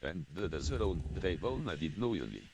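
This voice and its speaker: A man's voice: sits low, very animated, brisk, crowd noise. A text-to-speech male voice reading fan fiction aloud, spelling some words out letter by letter.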